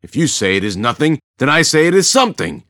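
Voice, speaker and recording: A man's voice: a little high, sing-song, fast talker, pushed and bright, dry recording, studio quality, pitched above the performer's own voice. A man voicing a hostile, confident warrior who growls his words. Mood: defiant.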